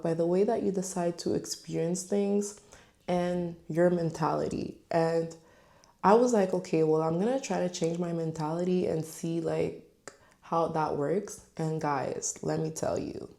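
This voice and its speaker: A woman's voice: fairly low pitch, moderate pace, creaky, dark tone. A woman in her twenties talking candidly to camera on her own channel, chatty and full of fillers. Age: 20s. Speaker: vlogger. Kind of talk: casual talk to camera.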